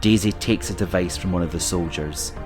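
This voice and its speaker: Low-voiced man narrating over music. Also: a little fast. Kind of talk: scripted narration.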